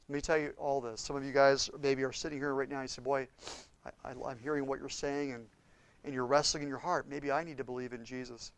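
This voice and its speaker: A man preaching a sermon with impassioned swings between loud and hushed delivery. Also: mid-range voice, rough recording. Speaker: pastor.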